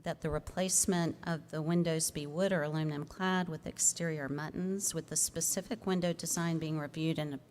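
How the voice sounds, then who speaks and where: A woman staff planner reading a formal report aloud at a public hearing in a measured, procedural way. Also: low-pitched, steady tone, darkish tone. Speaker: staff planner presenting a case. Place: public commission meeting room. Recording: noisy public meeting room microphone.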